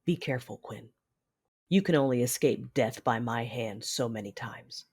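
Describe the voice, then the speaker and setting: A woman's voice: extremely low pitch, normal speed, darkish tone, breathy edge, full character voice. A woman playing a fierce, conflicted Sith woman who narrates a sci-fi audio drama with controlled, brooding drama. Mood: cold anger.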